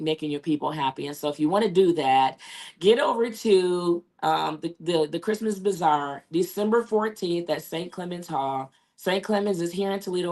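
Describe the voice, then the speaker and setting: A woman with a hoarse, raspy voice from losing it, hosting a casual talk show and chatting along with many fillers. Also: on the low side, darkish tone. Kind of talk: casual live show sign-off.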